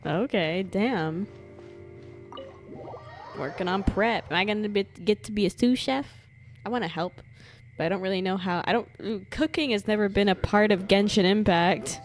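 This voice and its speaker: A woman's voice: mid-range voice, moderate pace. A woman streaming a game with casual live commentary, playful, slangy and sweary. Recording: game music behind. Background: game music.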